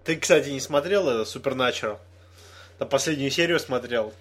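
A man's voice: medium pitch, brisk, a bit bright. A young man in casual chat with a friend, teasing him and laughing often.

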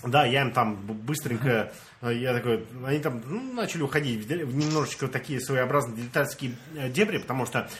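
A man's voice: mid-range voice, brisk, a little hiss or hum. A young man from Russia, telling a story in casual chat with friends and acting out the dialogue. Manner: animated storyteller, acts out dialogue, laughs often.

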